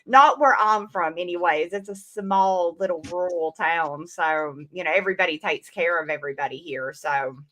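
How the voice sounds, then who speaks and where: A woman's voice: on the low side, moderate pace, hardly any pauses, sharp tone, slight background noise. A young woman with a Southern American accent, chatting casually as a guest and laughing often. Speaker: comic creator guest. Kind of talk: casual conversation.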